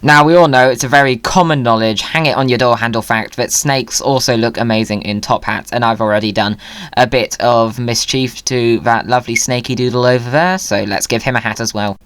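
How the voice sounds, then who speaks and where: Playful gaming host for casual commentary, middle pitch, very dry close-miked recording. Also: steady tone, brisk, fairly bright tone, no room sound. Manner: whimsical, playful. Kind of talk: casual gameplay commentary and chat.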